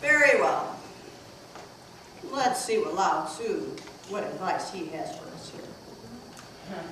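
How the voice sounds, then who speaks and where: A woman's voice: mid-range voice, animated, slow. A woman playing a gracious, teasing, wise moon goddess in an amateur puppet show, with playful storybook delivery. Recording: echoey noisy room, far from mic.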